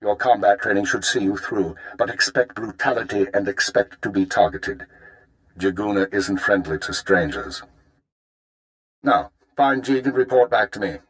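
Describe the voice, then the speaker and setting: Actor as a low-voiced stern imperial spymaster. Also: male voice, a bit bright, natural voice, poor audio. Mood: stern, instructive. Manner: formal, measured, authoritative. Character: stern male imperial intelligence chief briefing an agent. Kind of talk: scripted video game dialogue.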